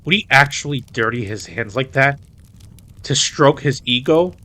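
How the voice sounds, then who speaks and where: A man's voice: medium pitch, lively intonation, normal speed, pushed and bright. A man playing a dominant, teasing mafia boss in a roleplay audio drama, commanding and sometimes slipping into Spanish. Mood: indignant, serious. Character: dominant, teasing male mafia boss, indignant yet protective.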